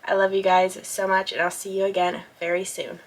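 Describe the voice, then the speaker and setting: A woman in her late twenties talking casually to her viewers in a relaxed, chatty vlog from her home office. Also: medium pitch, brisk, echoey room, low-quality recording. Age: 20s, about to turn 30. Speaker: vlogger.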